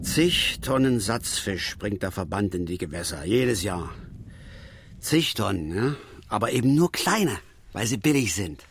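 Old angler, brooding and fearful, rambling, insistent, very lively pitch, radio drama, noisy background. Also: male voice, unhurried. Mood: grumbling, reflective. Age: older. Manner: rambling, insistent, self-repeating. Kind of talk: naturalistic German radio drama acting.

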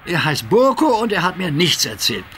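A man's voice: fairly high pitch, very animated, normal speed, a bit bright, slight background noise, voice pushed up. A man voicing a wry, teasing, sensible steam engine in a children's audio story. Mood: dismissive.

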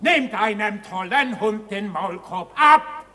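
An actress playing a mocking street ballad singer in a radio play, half singing and declaiming to the common folk. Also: female voice, medium pitch, animated, slow pace, pushed and bright, faint music behind, full character voice. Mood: mock outraged. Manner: declamatory, theatrical, half sung.

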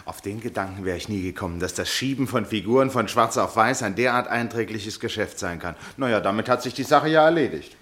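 A cultivated gentleman narrating a radio drama. His voice sits very low with a husky grain. He speaks in measured phrases, with dry irony and an air of detachment. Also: lively intonation. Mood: surprised, mocking. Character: wry, detached gentleman narrator and amateur chess player. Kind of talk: German radio play narration and dialogue.

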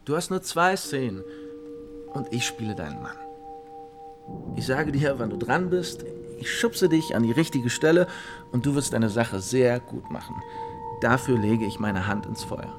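An actor playing an eager, bossy young gentleman in a radio drama, breezy and insistent as he cajoles. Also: medium pitch, expressive, unhurried, over music. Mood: cajoling, insistent.

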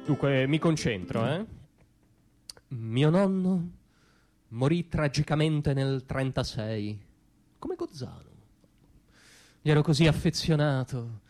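An actor playing a neurotic, anxious everyman in a theatrical comic monologue, halting and muttering, then frantically exclaiming. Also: a little high, lively intonation, darkish tone, soft background music. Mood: uneasy, wistful. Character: neurotic, self-deluding everyman, anxious and easily queasy. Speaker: stage monologist.

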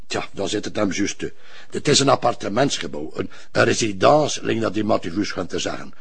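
An actor playing a nosy, excitable neighbour in a drama, rambling in broad dialect. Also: male voice, lowish voice, fairly quick, exaggerated voice. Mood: chatty, flustered. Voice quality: raspy, gravelly. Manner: rambling, colloquial.

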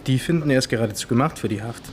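An actor playing an evasive, venal, gossipy guard in a radio play, speaking in a halting, insinuating, colloquial way. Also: male voice, mid-range voice, a little hiss or hum, lightly acted. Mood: gossipy, resigned.

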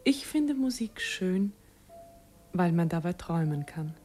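An actress playing a dreamy, innocent teenage girl, a governor's romantic daughter, gentle and wistful in a radio drama. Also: lowish voice, animated, unhurried, soft background music. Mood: dreamy, coy.

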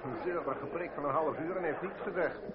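A haughty political delegate, played by a man, with a fairly high male voice. He grumbles in bored disdain. The radio drama recording is dull, with a light crowd behind him. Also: fairly quick, a little hiss or hum, close to an everyday voice. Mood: bored, scornful. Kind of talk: Dutch radio play acting.

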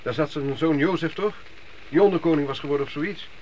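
An actor playing a sceptical, rebellious young son of biblical times in a radio play, sardonic and argumentative. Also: male voice, fairly high pitch, a little fast, darkish tone, highs cut off, natural voice. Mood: musing. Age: young adult. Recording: noisy old radio play recording.

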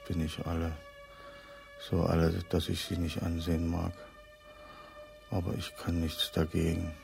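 A drained, anxious night watchman in a radio play. His deep, creaky voice stays resigned and utterly monotone over music. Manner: resigned, monotone inner monologue. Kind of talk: German radio drama monologue.

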